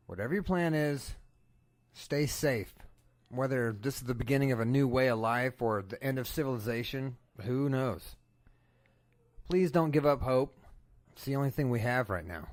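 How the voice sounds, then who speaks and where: A man playing an earnest radio newsman in an audio drama, solemn and measured as he broadcasts from a disaster. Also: medium pitch, no room sound, lightly acted. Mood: solemn, hopeful. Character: earnest male radio newsman reporting an apocalypse. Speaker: radio news broadcaster and host.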